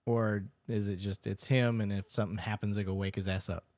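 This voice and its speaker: A man's voice: on the low side, flat delivery, brisk, darkish tone, no room sound. Curious, blunt podcast host man. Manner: curious, blunt, swears casually. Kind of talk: casual interview chat.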